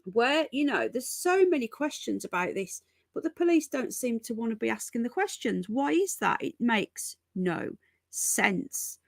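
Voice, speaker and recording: A woman's voice: on the high side, sing-song, unhurried, creaky, dry recording, clean studio sound. A woman hosting a casual live news stream from home, chatting to viewers, repeating herself and calling them folks and darling. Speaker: livestream host.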